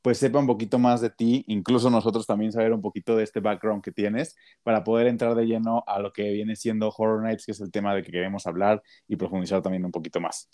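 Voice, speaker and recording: A male podcast co-host with a low, mellow voice. He rambles through his question, padding it with "pues" and "eh". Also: level tone, fast, hardly any pauses. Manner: rambling, lots of fillers. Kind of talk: casual interview chat.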